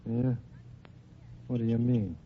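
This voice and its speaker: An actor playing a tough, surly gangster boss with blunt slangy talk in an old radio crime drama. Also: male voice, medium pitch, fairly even intonation, takes it slowly, a little hiss or hum, natural voice. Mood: grudging, suspicious. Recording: dull old radio recording.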